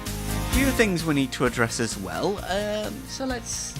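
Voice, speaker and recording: A man's voice: sits high, huge pitch swings, measured pace, music in the background. A man hosts an online show in a casual, rambling, self-deprecating live monologue.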